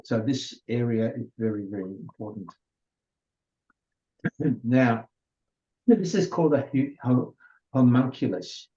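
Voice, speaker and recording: An older man whose first language is Hungarian gives an unhurried, informal lecture, often restarting his sentences. His voice is mellow and sits in a middle pitch. The recording is dull and lo-fi. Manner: unhurried, with many restarts and fillers.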